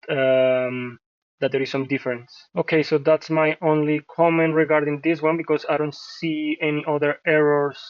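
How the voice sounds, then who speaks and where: A man from Colombia whose first language is Spanish, hosting a software tutorial and talking through a screen-share at a measured pace with frequent fillers. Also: fairly high pitch, no echo, muffled recording. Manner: thinking aloud, measured, many fillers. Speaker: host reviewing software scripts.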